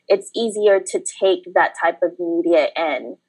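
A woman from the Philippines, telling her story as a podcast guest in a relaxed way with some fillers. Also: lowish voice, a little slow, breathy edge, low-quality recording. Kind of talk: casual interview talk.

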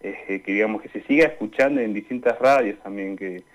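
A young Argentine man answering questions in a conversational radio interview, speaking in a hesitant, halting way. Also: fairly low pitch. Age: young adult. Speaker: interview guest, student radio drama maker. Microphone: remote call into a radio show. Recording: dull, lo-fi call-in sound.

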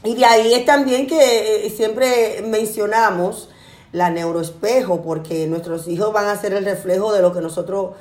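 A woman's voice: medium pitch, steady tone, a little slow, a little mellow. A woman on a radio talk about parenting, speaking earnestly with some hesitation. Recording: echoey room.

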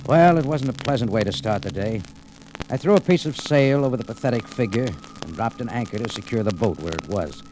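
A man plays a world-weary American adventurer in a radio play. His mid-pitched voice moves lively through terse, wry noir narration, with music on the old recording. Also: a little fast, natural voice, rough recording.

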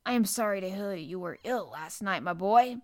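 A woman's voice: mid-range voice, animated, normal speed, dry recording, studio quality, lightly acted. Woman reading as a nervous, fussy male doctor. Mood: nervous, wary. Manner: nervous, cautious. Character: nervous, irritable, weak-willed male doctor.

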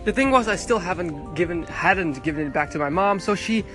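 Young man, high voice, rambling podcast diary recorded on a phone outside with faint music behind. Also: animated, a little fast. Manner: rambling, chatty, frequent restarts. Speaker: podcast host. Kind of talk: casual audio diary. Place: outdoors at a bus stop on the street.

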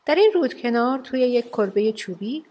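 A woman narrating a children's radio play in an animated storybook style. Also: fairly high pitch. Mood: storytelling. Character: lively female storyteller of a fairy tale.

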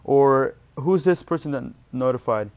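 A man's voice: medium pitch, animated, measured pace, darkish tone, clean tone. A young man whose first language is Portuguese, giving study tips in a casual, rambling vlog and often correcting himself mid-word. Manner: rambling, self-correcting, chatty. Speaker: student vlogger.

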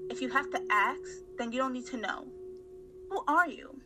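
A woman's voice: sits high, expressive, moderate pace, noisy background. A woman playing a suspicious, brusque eighteen-year-old girl in an amateur radio play, speaking curtly and sarcastically. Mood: suspicious, annoyed. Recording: music under the voice.

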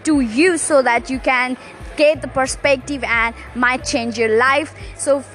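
A woman's voice: high voice, fairly even intonation, unhurried, a bit bright, soft background music. A young woman with a Nepali accent hosting a solo motivational podcast, upbeat, with many fillers and restarts. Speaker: podcast host. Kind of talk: casual solo podcast monologue.

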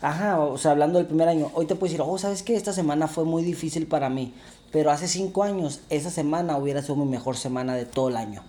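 A man's voice: a little high, quick pace, dark tone. Joking Mexican man chatting with his wife. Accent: Mexican Spanish. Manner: joking, asks many questions. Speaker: podcast co-host. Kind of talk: casual chat with his wife.

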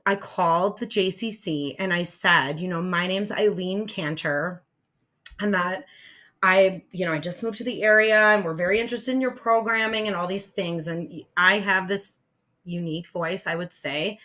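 A woman in her forties with a raspy voice, co-hosting a podcast in spunky, excitable casual chat. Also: lowish voice, moderate pace. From United States. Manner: spunky, excitable, lots of fillers. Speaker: podcast co-host.

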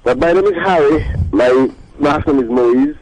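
A man from Dominica, a reggae musician, answering questions in a radio interview in an earnest, halting way. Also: a little high, darkish tone, rough recording.

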